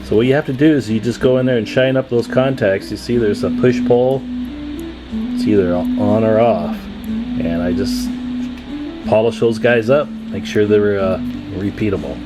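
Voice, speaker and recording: A man's voice: on the low side, moderate pace, music in the background, rough recording. A man talking casually and informally as he hosts a hands-on radio repair demonstration, in a chatty, folksy manner. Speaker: host of a CB radio repair channel.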